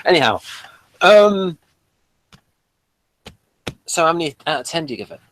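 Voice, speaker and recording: A man reviewing in casual, unscripted talk, explaining things in a halting way. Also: on the high side, sing-song, fairly bright tone. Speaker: TV episode reviewer.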